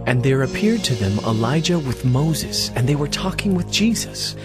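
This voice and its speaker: A man narrating scripted scripture for an audio drama, with measured, dramatic storytelling. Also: medium pitch, very animated. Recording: dramatic music bed behind the voice.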